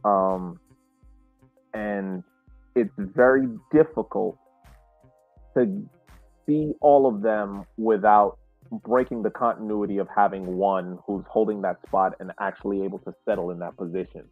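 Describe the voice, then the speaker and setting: Phone-like dry audio, American man, lowish voice, measured sports podcast analysis, point-by-point reasoning. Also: fairly even intonation, no room sound, faint music behind. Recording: thin, phone-like call audio.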